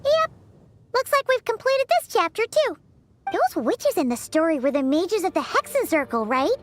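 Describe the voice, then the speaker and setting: A woman voicing a tiny childlike fairy companion in a video game, squeaky and bubbly. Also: sing-song, moderate pace. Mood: chatty, puzzled. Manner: speaks of self in third person, bubbly.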